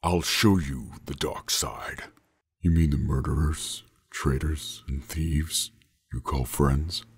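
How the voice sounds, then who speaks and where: A man putting on the slow, deep, breathy voice of a menacing masked male villain. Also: fairly even intonation, exaggerated voice. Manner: slow, low, threatening delivery.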